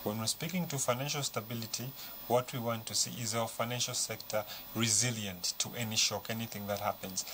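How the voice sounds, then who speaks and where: Official, man, low bright voice, formal, faint noise behind. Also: poor audio. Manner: formal, measured. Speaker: central bank official. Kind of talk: TV interview answers.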